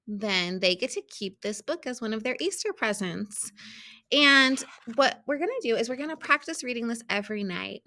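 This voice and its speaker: Bright-voiced woman schoolteacher. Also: fairly high pitch. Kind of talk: explaining a read-aloud video for students.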